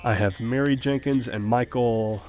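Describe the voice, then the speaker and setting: A man playing a dutiful, deferential junior police officer, reporting in a measured way in a horror audio drama. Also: mid-range voice, fairly even intonation, a little mellow, soft background music, close to an everyday voice. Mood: matter-of-fact.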